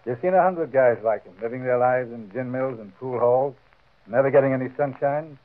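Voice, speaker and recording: An actor playing a worldly, matter-of-fact police inspector in a 1940s radio drama, wry, slangy and hard-boiled. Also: male voice, medium pitch, moderate pace, close to an everyday voice. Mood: wry, dismissive. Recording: tinny vintage radio recording.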